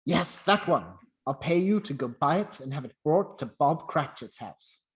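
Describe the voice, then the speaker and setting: A man's voice: fairly high pitch, lively intonation, a little slow, poor audio. Reformed old miser for radio play male performer: hearty, emphatic, exclamatory, bursting with new generosity. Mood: overjoyed, eager. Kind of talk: amateur radio play acting.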